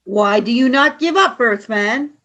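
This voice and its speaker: A woman playing a cold, ruthless alien commander in a radio play, speaking in measured, commanding taunts. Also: a little high, expressive, a bit bright, muffled recording. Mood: taunting.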